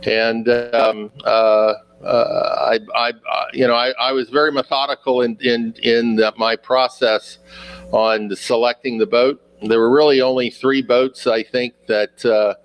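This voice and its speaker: Halting man in his sixties. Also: medium pitch, steady tone, poor audio. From United States. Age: 60s. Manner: halting, many fillers and repeated words. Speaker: guest sailor. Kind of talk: casual interview answers.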